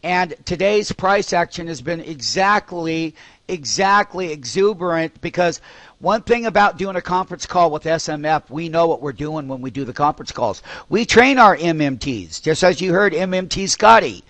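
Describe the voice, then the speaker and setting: A man's voice: on the high side, expressive, moderate pace, fairly bright tone, no room sound. A man hosting a live stock trading call with emphatic, repetitive commentary.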